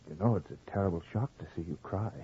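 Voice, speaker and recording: An actor playing an earnest, kindly husband who narrates and acts in an eerie radio tale in a measured, theatrical way. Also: male voice, low-pitched, mellow, husky. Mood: soothing. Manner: measured, literary storytelling and theatrical dialogue. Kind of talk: 1950s radio drama acting and narration. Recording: dull old radio recording with music.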